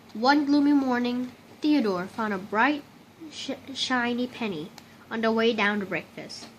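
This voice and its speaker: High-voiced woman reading a children's story. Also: rough recording. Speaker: storybook reader. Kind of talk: read-aloud children's story narration. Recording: clear steady background noise.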